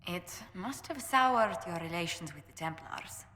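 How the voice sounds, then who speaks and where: A woman's voice: lowish voice, lively intonation, unhurried, sharp tone. An actress playing a courteous, poised noblewoman ambassador in a video game, speaking formally with dry humour. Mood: courteous, businesslike. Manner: formal, refined, diplomatic phrasing. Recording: echoey hall with long reverb.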